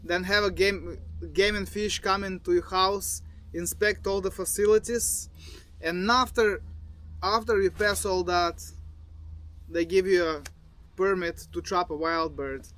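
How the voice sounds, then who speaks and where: A man in his thirties from Bulgaria with a Bulgarian accent, a falconer talking casually outdoors in a halting way with restarts. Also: sits high, steady tone, a bit bright, a little hiss or hum. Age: 30s. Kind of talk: casual recorded monologue. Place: outdoors in the desert. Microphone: phone.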